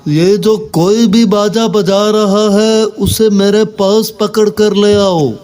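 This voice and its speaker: Man voicing a high-pitched, commanding village landlord. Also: level tone, slow pace, darkish tone, soft background music. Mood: angry. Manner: scolding, authoritative. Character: angry, commanding village landlord, stern with his servants. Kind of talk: children's cartoon moral story.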